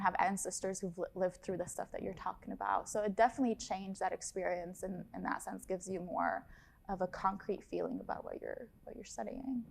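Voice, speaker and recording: Gravelly-voiced upbeat woman in her thirties. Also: medium pitch. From Lebanon. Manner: upbeat, enthusiastic, chatty with fillers. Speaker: study abroad advisor. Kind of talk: casual interview talk.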